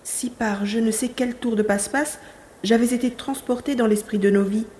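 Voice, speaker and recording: A woman playing a determined, curious young hero in a science fiction audio drama, expressive and thinking aloud. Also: a little high, normal speed, a little hiss or hum, lightly acted. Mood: puzzled.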